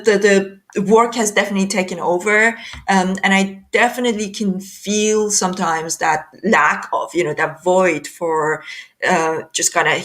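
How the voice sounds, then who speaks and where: Lady from iran hosting a video call, lowish voice, lots of ums and restarts. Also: a bit bright. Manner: many restarts and fillers. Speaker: host. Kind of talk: casual video call discussion.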